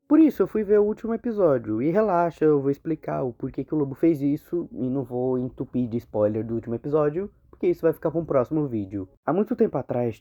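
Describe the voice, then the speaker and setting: A man with a Brazilian Portuguese accent narrating an informal, slangy storytelling recap as a video narrator. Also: on the high side. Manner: colloquial, slangy. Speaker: video narrator explaining horror series. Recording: muffled phone-like audio, music behind. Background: background music.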